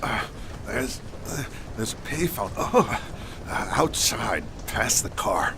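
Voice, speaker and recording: A man plays a weary, aging superhero in a radio play, badly hurt and struggling for breath. The delivery is slow and gravelly, strained with pain, over loud ambient noise. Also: fairly low pitch, lively intonation, full character voice. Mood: pained, exhausted. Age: middle-aged. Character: aging wounded male masked superhero, weary and gritty. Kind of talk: superhero audio drama acting.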